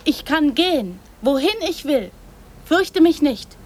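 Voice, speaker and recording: A woman acting in a radio drama as a naive, trusting, affectionate girl who speaks in halting, simple childlike phrases. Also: sits high, big pitch swings, darkish tone, full character voice. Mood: chatty, proud.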